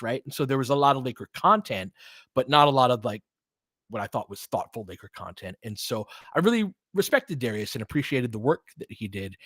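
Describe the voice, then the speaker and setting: A podcast host chatting casually with viewers, telling a rambling story full of fillers and restarts. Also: male voice, medium pitch, a bit bright, dry recording, clean studio sound. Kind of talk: casual livestream chat answering viewers.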